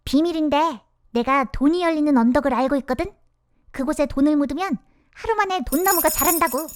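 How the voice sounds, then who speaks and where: Woman as sneaky fox, one eye, whispery schemer, quick talk. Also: sits high, dry recording, studio quality, natural voice. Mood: sly. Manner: sly, conspiratorial, quick. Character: sly one-eyed male fox, scheming.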